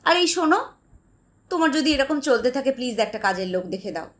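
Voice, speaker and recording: A woman voicing a self-important housewife in a dramatized story, giving orders in a brisk, imperious manner. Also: sits high, big pitch swings, pitched above the performer's own voice. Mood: impatient, bossy. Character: bossy, self-important middle-class housewife employer and mother.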